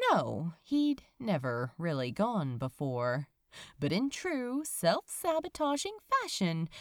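Female audiobook narrator, unhurried and highly animated, wry theatrical storyteller for fantasy fiction. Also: no echo, studio quality. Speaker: fan fiction audiobook reader.

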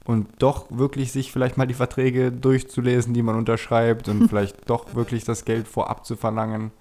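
A man's voice: medium pitch, completely flat delivery, moderate pace, warm tone. A man speaking as a podcast guest, chatting casually and candidly about himself in a self-critical way. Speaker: podcast guest, video creator. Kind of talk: casual chat, personal anecdotes.

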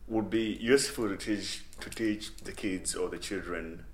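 Deep-voiced podcast host from Malawi. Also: male voice, normal speed, slight background noise. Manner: relaxed, chatty, with frequent affirmations. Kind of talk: casual interview conversation.

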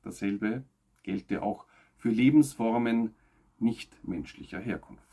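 A man reads scripted news as a presenter, in measured, emphatic phrases that fall away at sentence ends. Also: lowish voice, animated, gravelly, dark tone, husky.

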